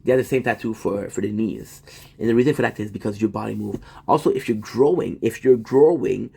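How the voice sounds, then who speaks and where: A man's voice: fairly low pitch, brisk, gravelly, mellow, husky. Guy livestreaming from home, rambles, restarts a lot, talks to chat. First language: French. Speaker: livestream host.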